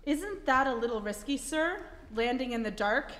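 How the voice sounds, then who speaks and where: Woman doing a keen rocket lieutenant, high voice, deferential, radio play in echoey hall. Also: animated, normal speed, a bit bright. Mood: uneasy. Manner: deferential, military. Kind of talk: old-time radio drama acting. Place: theatre stage, live performance.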